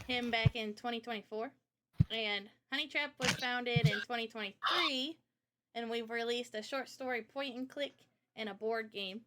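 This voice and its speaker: A woman's voice: a little high, a bit bright, a little hiss or hum. A young woman presenting casually on a livestream, chatty, informal and enthusiastic, like a developer showing off a project.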